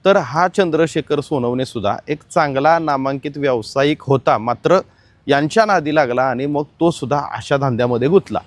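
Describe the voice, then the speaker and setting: A man's voice: medium pitch, moderate pace, dry recording. A man presenting explanatory crime news commentary, emphatic and speaking straight to the audience.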